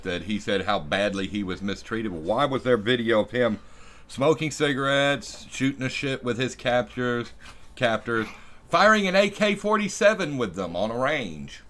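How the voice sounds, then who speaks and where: A man's voice: medium pitch, animated, moderate pace, a bit bright. A man co-hosting a live stream with casual, opinionated commentary. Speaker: co-host. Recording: live stream with clear background noise.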